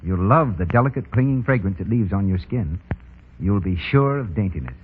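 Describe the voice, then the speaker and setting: A man works as a vintage radio announcer, reading scripted copy in 1930s style. His voice is low and very animated. The recording is dull with noise beneath. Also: normal speed.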